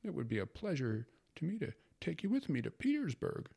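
A man voicing a sentimental, fussy aging gentleman in a spoken story, pitched well above his own voice. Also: wildly swooping pitch, fairly quick, gravelly, breathy edge, full character voice. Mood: wistful. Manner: pleading, wistful, higher than the narration.

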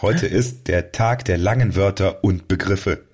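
A man's voice: low-pitched, measured pace, darkish tone, slightly rough. A man hosting a casual comedic podcast, telling things in a playful, digressive way. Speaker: podcast host.

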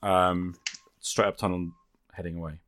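A man's voice: low-pitched, level tone, moderate pace, fairly bright tone, no echo, studio quality. A man chatting casually as a player in an improvised tabletop roleplaying game, describing what his character does.

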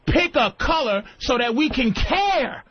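A man's voice: very high voice, big pitch swings, unhurried, breathy edge, dry recording. A man co-hosting a radio talk show, arguing bluntly and profanely with a caller. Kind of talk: heated radio argument. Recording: dull lo-fi radio broadcast.

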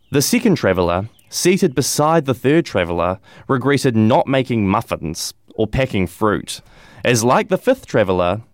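A man reading a poem aloud in a measured, deliberate way with grave pauses. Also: medium pitch, dry recording. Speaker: poetry reader.

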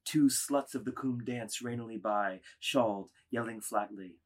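Measured male narrator, husky edge. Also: medium pitch. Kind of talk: scripted narration of stage directions.

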